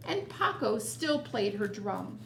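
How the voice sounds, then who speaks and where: A woman's voice: medium pitch, sing-song, takes it slowly, vocal fry. A woman reading a picture book aloud to children as a storytime reader, expressively and with chatty asides. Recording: roomy echo, noticeable background noise.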